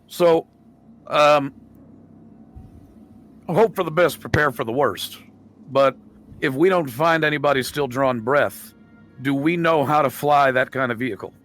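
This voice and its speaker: A man's voice: medium pitch, measured pace, long pauses, fairly bright tone, soft background music. A man talking casually as a player in a tabletop game, blunt and sweary.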